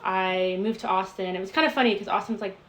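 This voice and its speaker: A woman from San Antonio, a software engineer, chatting with joking asides. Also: medium pitch, steady tone, fast talker. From San Antonio, Texas. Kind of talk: documentary interview.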